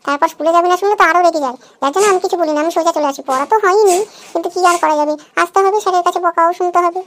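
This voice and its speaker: An adult voicing an anxious little school pupil in a comedy cartoon, chattering fretfully in a pitched-up voice. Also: racing, fairly bright tone, slight background noise. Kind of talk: Bengali comedy cartoon dub.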